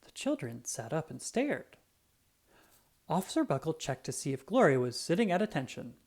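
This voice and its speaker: An animated read-aloud narrator for a children's picture book. The pitch swings widely and expressively, and key words are stretched out for dramatic emphasis. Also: moderate pace.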